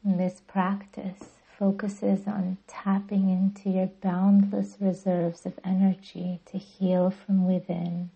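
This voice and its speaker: Female meditation guide, breathy with a creaky edge, even and soothing, slightly muffled recording. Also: medium pitch. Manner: soothing, gentle, deliberate. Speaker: yoga nidra guide and podcast host.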